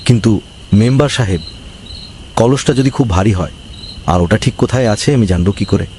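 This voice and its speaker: A man's voice: on the low side, brisk, darkish tone, slight background noise, natural voice. A man voicing a village boss's timid henchman in a cartoon, deferential and hesitant. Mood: nervous, hesitant. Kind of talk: cartoon voice acting.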